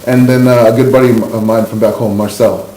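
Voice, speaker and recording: A man's voice: mid-range voice, fairly even intonation, fairly quick, warm tone. A man with a Canadian accent telling personal stories casually and candidly as a podcast guest, in an informal conversational way. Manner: informal and candid, frequent "like" and "you know". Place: indoor room, in-person podcast recording. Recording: roomy echo, faint background noise.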